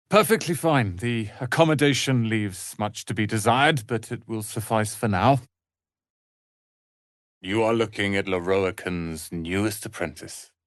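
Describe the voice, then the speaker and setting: A man's voice: medium pitch, no room sound, studio quality, natural voice. An actor playing a haughty, prickly young male wizard's apprentice in a fantasy video game, with clipped, theatrical delivery. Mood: haughty, resigned, then haughty, irritated.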